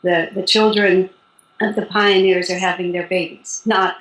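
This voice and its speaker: A woman in her sixties, a spiritual teacher calmly explaining her practice in an interview, with light laughs. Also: on the low side, a little slow. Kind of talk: interview guest. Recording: roomy echo.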